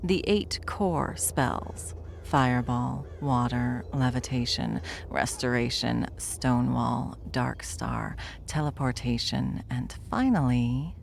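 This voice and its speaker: A woman's voice: extremely low pitch, takes it slowly, hardly any pauses, slight background noise, heavily acted. A woman playing an ancient, deathless spellcaster, wry and self-assured, lecturing theatrically in a fantasy roleplay audio drama. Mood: smug, theatrical. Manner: theatrical, wry, leisurely lecturing with breathy asides.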